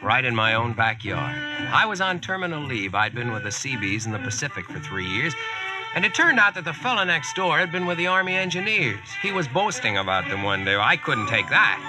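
Young American veteran, male actor, wry plain-talking storyteller, animated swings in pitch, 1940s radio play. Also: a little fast, over music, natural voice, poor audio. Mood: storytelling.

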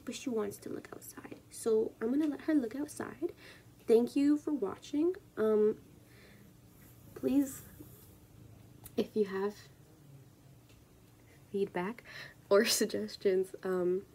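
A young woman you might find in her plant-filled bedroom, reading poems slowly to a camera in a soft-edged, mellow voice. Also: fairly high pitch, husky. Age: 20s. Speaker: poetry reader and video creator. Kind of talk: spoken word poetry reading with casual introduction. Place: small room at home, indoors.

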